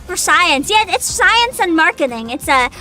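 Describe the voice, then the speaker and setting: Excitable girly streamer woman, bright voice bouncing all over, chatting casually, faint music behind her. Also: very high voice, normal speed. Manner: excitable, giggly, exaggerated dramatic emphasis. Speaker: livestream host, VTuber. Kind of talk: casual livestream chat.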